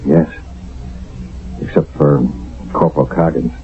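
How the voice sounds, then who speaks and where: A world-weary sergeant in a radio drama. The voice is deep, grave and plain-spoken, leaning on key words, over a dull old radio recording with music behind. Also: male voice, fairly even intonation, unhurried. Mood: grim. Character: grim, world-weary army sergeant reporting from combat.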